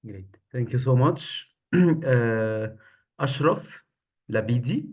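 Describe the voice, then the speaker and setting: A man's voice: medium pitch, expressive, slow pace, slightly rough. A man moderating an online workshop, briefly and politely calling on participants over a video call.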